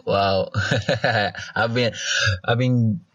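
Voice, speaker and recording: A man co-hosts an interview podcast, talking in a chatty, rambling way and laughing often. His voice sits low, and the sound is close and dry. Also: steady tone, extremely slow, dry recording, highs cut off. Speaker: podcast co-host. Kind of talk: casual interview chat.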